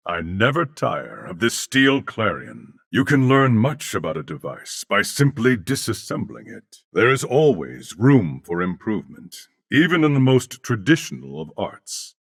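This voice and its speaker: A man voicing a courteous older alien warrior-scholar in a video game, speaking formally and eloquently with measured diction. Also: fairly low pitch, creaky, fairly bright tone, no room sound, studio quality, full character voice. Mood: scholarly, content. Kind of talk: video game character voice lines.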